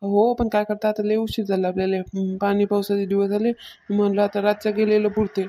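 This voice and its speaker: A woman acts out a hardworking village wife in a comedy cartoon. She is bossy and plain-spoken, with little rise and fall in a medium-pitched voice. Her accent is rural Maharashtra Marathi. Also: level tone, a little fast, dark tone, close to an everyday voice. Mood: businesslike.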